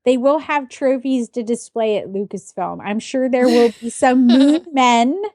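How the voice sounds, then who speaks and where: Middle-aged woman, measured podcast co-host. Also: fairly high pitch, a little mellow, dry recording, studio quality. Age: 40s. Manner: measured, reasoning, explanatory. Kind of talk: casual pop-culture podcast chat.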